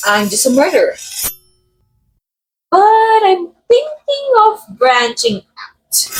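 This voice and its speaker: A woman's voice: very high-pitched, slight background noise, exaggerated voice, poor audio. A woman playing a vain, murderous method actress in an audio roleplay, coy, theatrical and condescending, speaking straight to the listener. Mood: coyly menacing. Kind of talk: ASMR roleplay acting, addressing the listener.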